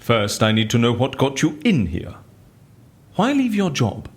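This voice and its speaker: A wry male interrogator, with a mid-pitched voice that swings up and down freely. He sounds quizzical, curious and a little squeamish, as a character in an audio drama. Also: wildly swooping pitch, measured pace. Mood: sympathetic, probing. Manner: wry, quizzical, folksy exclamations.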